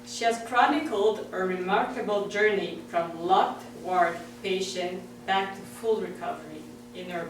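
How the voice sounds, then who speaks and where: A woman's voice: fairly low pitch, background noise. A woman introducing a guest speaker, hesitant with restarts. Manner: hesitant, halting. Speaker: host, board president. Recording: echoey hall, mic far off.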